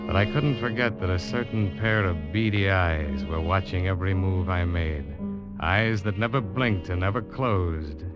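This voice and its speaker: Male actor as young American adventurer, low voice, tense and determined, dramatic storyteller, dull vintage radio sound. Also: lightly acted. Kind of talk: old-time radio drama acting, first-person narration. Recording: muffled old radio recording.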